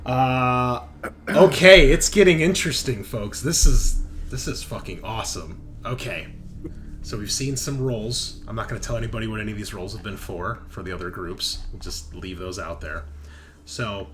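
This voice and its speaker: A man running a tabletop game, narrating casually and informally, often halting as he thinks aloud. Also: lowish voice, a bit bright, a little hiss or hum. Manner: halting, thinking aloud as map details are checked. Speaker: game master.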